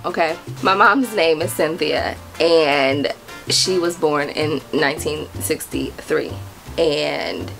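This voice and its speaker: A woman's voice: lowish voice, gravelly, a bit bright. Giggly, chatty young woman vlogger. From United States. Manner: bubbly, giggly, chatty with many fillers. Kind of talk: casual vlog chat to camera.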